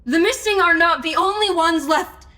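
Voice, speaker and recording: Woman as an earnest, high bright-voiced investigator. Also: normal speed. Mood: guilty, anguished. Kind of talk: supernatural comedy audio drama acting.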